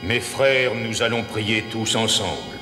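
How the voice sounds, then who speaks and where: A man's voice: lowish voice, music in the background, natural voice. Man voicing solemn priest in echoing church. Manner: solemn, measured. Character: solemn priest leading prayer. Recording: long church reverb.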